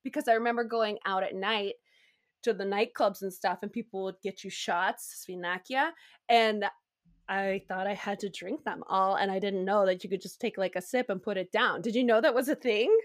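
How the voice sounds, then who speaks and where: A woman's voice: medium pitch, moderate pace. A woman chatting animatedly and laughing easily in a casual conversation. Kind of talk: casual chat.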